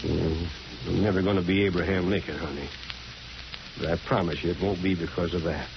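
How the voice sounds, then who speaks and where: A troubled small-town mayor in an old radio play. He speaks low, in an earnest and plain way. The vintage recording is dull and clearly noisy. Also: fairly even intonation, brisk. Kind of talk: 1940s radio drama acting.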